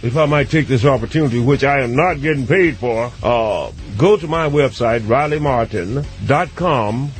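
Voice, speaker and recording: A man's voice: mid-range voice, moderate pace, few pauses, soft background music. Man promoting himself on radio. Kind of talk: unscripted radio talk.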